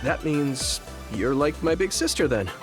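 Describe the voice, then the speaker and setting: A man's voice: a little high, big pitch swings, measured pace. An actor voicing an earnest, kind, easygoing young man soldier in a video game, speaking in a friendly, sincere way. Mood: curious, good-natured. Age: young adult. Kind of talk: video game voice acting, story cutscene dialogue. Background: background music.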